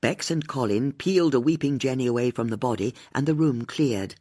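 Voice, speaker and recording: A woman with a husky voice, reading a mystery audiobook as scripted narration. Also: a bass voice, fairly quick, mellow.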